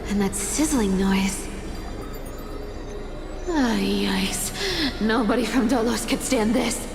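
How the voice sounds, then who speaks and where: A sly young thief girl with a tail, drawls her lines in an unhurried, teasing way. The game scene rings with a long hall reverb. A loud orchestral score plays under her voice. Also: medium pitch, vocal fry, music in the background. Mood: grumbling. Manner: teasing, slow and breezy. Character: sly tailed young woman thief, playful. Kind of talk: video game voice acting.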